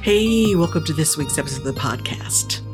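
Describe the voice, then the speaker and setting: A woman's voice: deep voice, animated, moderate pace, over music. A woman hosting a motivational podcast, talking to the listener in an upbeat, enthusiastic, playful pep-talk manner. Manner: direct, playful, speaks straight to the listener. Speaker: podcast host.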